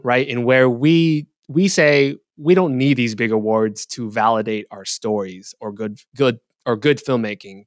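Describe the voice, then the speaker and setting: Thoughtful podcast guest, even voice. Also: male voice, medium pitch, dry recording, studio quality. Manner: thoughtful, with restarts and hedging. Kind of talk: casual podcast discussion.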